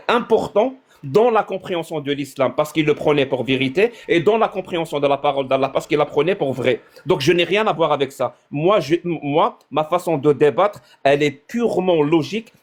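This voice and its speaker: A man hosting a heated online debate, pressing his opponent with repeated questions; Arabic is his first language. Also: fairly high pitch. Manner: insistent, pressing questions, interrupting. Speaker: debate livestream host.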